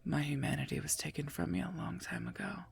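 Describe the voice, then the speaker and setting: A woman voicing a sardonic female werewolf knight and mercenary bodyguard in an ASMR roleplay, husky and gravelly, dry and curt. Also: sits low, fast talker, a little hiss or hum. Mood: dry, menacing. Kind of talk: scripted ASMR roleplay, addressed to listener.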